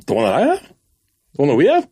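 A man's voice: a little high, wildly swooping pitch, fairly quick, dark tone, breathy edge, no room sound, clean studio sound. A man on a casual podcast, teasing and playful with his friends. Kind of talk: casual banter among friends.

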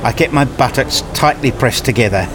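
A man's voice: medium pitch, normal speed, background noise, natural voice. A man playing a self-deprecating, nerdy father who tells his own story in a radio play in wry, formal wording. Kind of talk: first-person radio play narration.

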